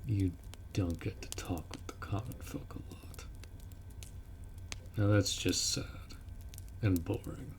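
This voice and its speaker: A man's voice: low voice, fairly even intonation, slow, pauses often, in a whisper. A man voicing a blunt, protective orc warrior who speaks informally and firmly in a roleplay audio drama. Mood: casual, reassuring. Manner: blunt, informal, firm, instructing.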